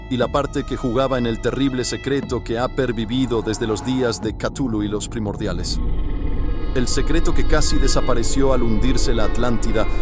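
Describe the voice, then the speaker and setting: A man voicing an anguished, horrified man in a horror audiobook, confessing a killing in a hoarse, intense, dramatic way. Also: on the low side, lightly acted. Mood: haunted, grim.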